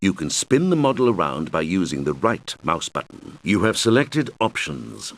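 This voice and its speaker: A low-voiced male game guide, with very lively, swooping intonation. He explains things helpfully and simply, as if to children, in scripted tutorial style. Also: normal speed. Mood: instructive. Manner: instructional, clearly addressed to children.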